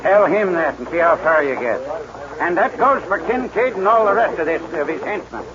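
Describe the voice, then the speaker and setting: A man's voice: high-pitched, expressive, normal speed. An actor playing a proud, stubborn aging rancher father in a 1940s radio western, with a folksy American drawl and a stammer when moved. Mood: angry. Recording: noisy muffled 1940s radio recording.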